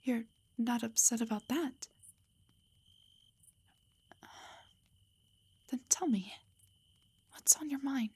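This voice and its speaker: Breathy, whispering elf wife, acted by a woman. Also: fairly high pitch, a little slow, dark tone, full character voice. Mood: earnest reassurance. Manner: tender, reassuring. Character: loving, protective elf wife, adult huntress. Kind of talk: intimate roleplay audio, soft whispery acting.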